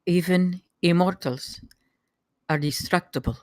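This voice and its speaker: A woman's voice: on the low side, measured pace, dry recording, studio quality, natural voice. A woman playing a poised, dangerous recruit to a hunt in a screenplay table read. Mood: cool, questioning.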